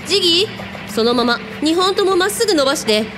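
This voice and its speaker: A woman acts out a radio drama leader with a high voice and a brisk, commanding manner. She is casual and a little teasing, with music playing behind her. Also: expressive. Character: commanding, teasing female hotel owner with psychic powers.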